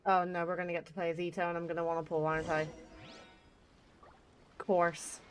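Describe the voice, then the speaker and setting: A woman's voice: fairly low pitch, flat delivery, brisk, soft background music. A woman giving casual, slangy let's-play commentary and reacting to a game as she plays. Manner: chatty, slangy. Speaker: gaming streamer.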